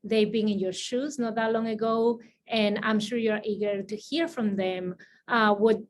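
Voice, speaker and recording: A woman with a Spanish accent moderates an online panel over a video call. She talks quickly, with frequent fillers and restarts. A faint noise sits behind her voice. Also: fairly high pitch, fairly even intonation. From Spain. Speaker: assistant dean moderating a panel.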